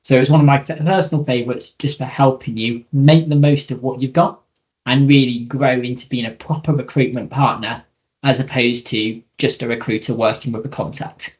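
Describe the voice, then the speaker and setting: A man's voice: medium pitch, fairly even intonation, moderate pace, lo-fi sound. A man co-presenting a webinar, explaining things in an informal instructional style.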